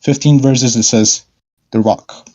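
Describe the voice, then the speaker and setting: A man calling into an online discussion, speaking hesitantly with many fillers and frequent throat clearing. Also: mid-range voice, steady tone, takes it slowly, a little mellow, rough recording. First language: not Arabic. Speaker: caller. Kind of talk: casual debate call-in.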